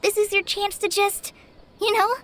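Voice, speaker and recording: A woman voicing a polite, eager young girl apprentice forest ranger in an anime video game, earnest and gentle. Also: extremely high pitch, little pitch movement, normal speed. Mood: polite, caring.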